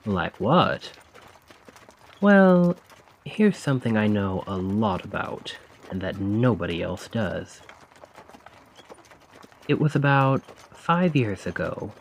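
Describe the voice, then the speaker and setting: A man's voice: lowish voice, big pitch swings, slow, long pauses, a little hiss or hum, exaggerated voice. A man playing a vengeful, sardonic carriage driver in an ASMR audio drama, speaking in a conspiratorial, taunting way. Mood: sly, teasing. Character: vengeful male carriage driver, sly, mocking, coldly controlled.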